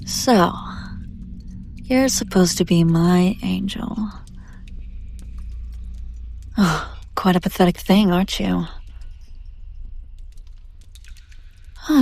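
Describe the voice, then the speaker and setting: A woman playing a haughty, wealthy demon buyer in a scripted audio roleplay, condescending and teasing with drawn-out theatrical delivery. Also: fairly low pitch, gravelly, a little mellow. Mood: mocking, predatory.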